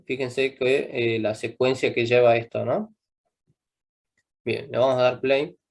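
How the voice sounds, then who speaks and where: A man's voice: mid-range voice, steady tone, a little slow, vocal fry. A young man teaching a live coding class, patiently checking in with students after almost every step. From Argentina. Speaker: programming teacher.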